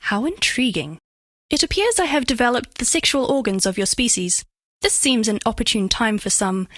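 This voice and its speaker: High-voiced, curious alien diamond girl. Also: a bit bright, no echo, studio quality.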